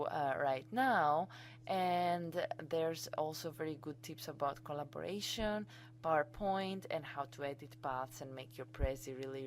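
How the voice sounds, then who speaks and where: Low-voiced Greek-accented woman teaching keenly. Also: slight background noise. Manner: enthusiastic, chatty and informal. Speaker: college instructor. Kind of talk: screencast software tutorial.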